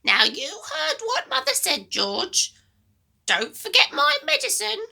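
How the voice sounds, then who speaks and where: Storybook granny, old, grumpy, bossy, scolding, high-pitched, piercingly sharp. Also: female voice, wildly swooping pitch, slow, gravelly, full character voice. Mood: bossy, nagging. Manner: scolding, snapping, complaining.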